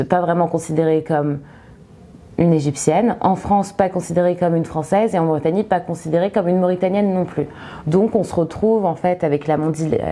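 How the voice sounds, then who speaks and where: Young woman, low voice, mellow tone, halting delivery with many fillers. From Egypt. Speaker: book reviewer. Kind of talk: book review monologue with read-out synopsis.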